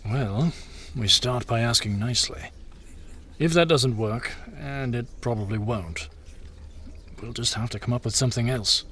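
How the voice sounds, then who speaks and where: A man's voice: medium pitch, unhurried, fairly bright tone, a little hiss or hum, lightly acted. A man playing a haughty, scheming king in an audio drama, with a commanding, sardonic manner. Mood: sardonic, dismissive. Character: scheming, haughty king, curt and dismissive of petitioners. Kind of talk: full cast audio drama acting.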